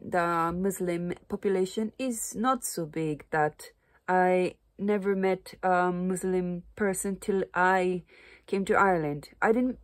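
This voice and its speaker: A woman in her early thirties with a Japanese accent, talking casually to camera in halting non-native English as she searches for words. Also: on the low side, darkish tone, no echo. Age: early 30s. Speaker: vlogger, Japanese language teacher. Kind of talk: casual unscripted talk to camera. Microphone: phone or camera at arm's length.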